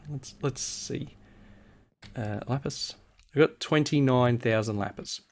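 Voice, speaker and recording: A man who is unwell, husky and a little nasal, giving a casual video game walkthrough as host and thinking aloud as he goes. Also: mid-range voice, takes it slowly. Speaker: host of a gaming series.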